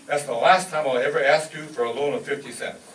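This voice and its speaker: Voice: medium pitch, fairly even intonation, fast talker, a bit bright, lightly acted. A performer playing a slick, unflappable male straight man in a vaudeville-style radio comedy routine. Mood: slick, insistent. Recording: echoey distant mic, noisy live stage.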